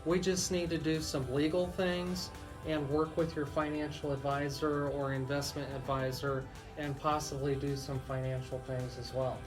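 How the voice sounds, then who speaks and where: A man's voice: fairly high pitch, level tone, normal speed. Guy lawyer on talk radio explaining stuff carefully, music behind him. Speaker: radio show host and lawyer. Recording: music bed under the voice.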